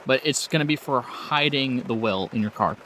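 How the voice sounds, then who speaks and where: A man's voice: medium pitch, normal speed, fairly bright tone, a little hiss or hum. A man casually narrating a tabletop roleplaying game as the game master.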